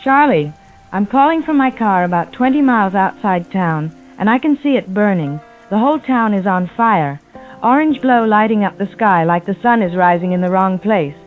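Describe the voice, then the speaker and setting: A woman's voice: mid-range voice, lively intonation, moderate pace, lightly acted. A woman playing a shaken, grieving survivor calling in to a radio drama, grave and haunted. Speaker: caller. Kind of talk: radio drama phone-in account. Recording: thin tinny phone line, music behind.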